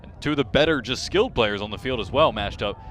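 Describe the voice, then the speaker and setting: A man calling live sports play-by-play as a broadcast commentator, lifting his excitement when the action peaks. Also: medium pitch, fast, a little hiss or hum. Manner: excitement rises sharply on big plays.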